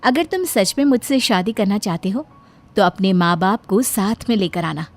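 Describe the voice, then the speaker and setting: Woman acting guarded young dancing girl, mid-pitched. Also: lively intonation, normal speed, darkish tone, lightly acted. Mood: skeptical, wry. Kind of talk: cartoon moral-story voice acting. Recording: background music under the voice.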